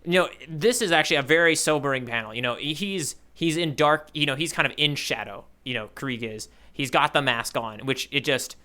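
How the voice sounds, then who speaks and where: A man co-hosting a casual manga discussion podcast in a playful, animated way. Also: a little high, fairly quick, few pauses. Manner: playful, animated, with spoken sound effects. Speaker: podcast co-host.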